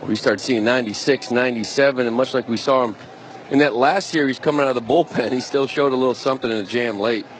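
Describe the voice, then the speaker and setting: Guy doing baseball color commentary, talks really quick, bit of stadium noise. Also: mid-range voice, low-quality recording. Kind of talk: live sports analysis commentary. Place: stadium broadcast booth. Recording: faint stadium noise behind voice. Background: faint ballpark ambience.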